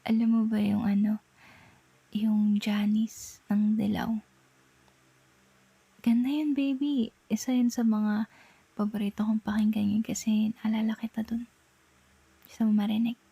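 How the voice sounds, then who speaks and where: A young Filipino woman playing a tender, playful girlfriend in an intimate ASMR roleplay, murmuring softly and coaxingly close to the mic. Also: mid-range voice, flat delivery, a little slow, creaky, long pauses, husky, no room sound. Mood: tender, sleepy. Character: tender, playful girlfriend soothing partner to sleep. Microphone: close microphone.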